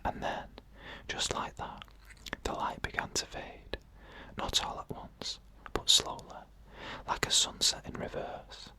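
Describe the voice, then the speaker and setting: A narrator reading eerie first-person stories in a slow, deliberate ASMR whisper, pausing between short phrases. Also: sharp tone.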